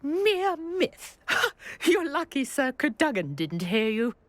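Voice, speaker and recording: A woman playing a brisk, wry historian and archaeologist in a video game, articulate and full of curiosity. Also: high voice, big pitch swings, no echo, full character voice. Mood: enthusiastic, intrigued. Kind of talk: video game character acting.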